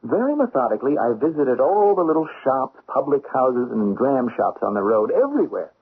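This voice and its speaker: An actor playing an eager, insistent young investigator's secretary in a 1970s radio drama, with excitable, emphatic delivery. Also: male voice, mid-range voice, a little fast, husky. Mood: methodical, pleased. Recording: dull, lo-fi 1970s radio recording.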